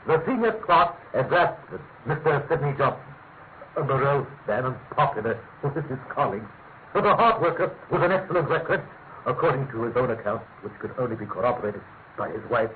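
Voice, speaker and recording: An actor playing a pompous, fussy middle-aged government official with a British accent, halting and emphatic, in classic radio mystery style. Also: male voice, medium pitch, expressive, close to an everyday voice. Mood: official, measured. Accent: British English. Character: pompous, fussy male senior government official, gravely insistent. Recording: tinny, narrow-band radio recording with hiss.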